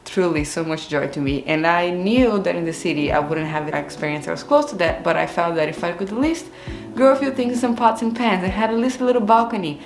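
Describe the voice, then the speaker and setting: A woman's voice: lowish voice, lively intonation, a little fast. A woman in her thirties from Brazil, with a Brazilian accent, telling her own story on her gardening and cooking vlog in an earnest, open and reflective way. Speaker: gardening and cooking channel host. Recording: roomy echo with faint music.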